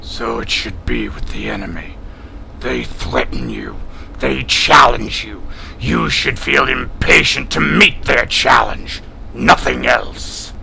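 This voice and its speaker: A proud feline alien warrior with a heavily gravelled, harsh and forceful voice. He speaks slowly and haughtily, scorning humans like prey in a sci-fi audio drama. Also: mid-range voice, big pitch swings, slight background noise, exaggerated voice, low-quality recording. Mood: taunting relish. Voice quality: gravelly, growling. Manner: haughty, declamatory, taunting.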